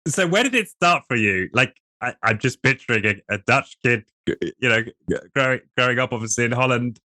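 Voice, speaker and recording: A man's voice: mid-range voice, expressive, moderate pace, sharp tone, dry recording. A man hosting a podcast, interviewing a guest with many filler sounds and restarts. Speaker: podcast host.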